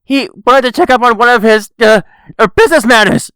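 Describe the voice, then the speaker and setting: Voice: medium pitch, brisk, fairly bright tone, clear, resonant tone, dry recording, natural voice. A performer voicing a timid, flustered male mob accountant who stammers under pressure in a comic dub. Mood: panicked. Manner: stuttering, flustered.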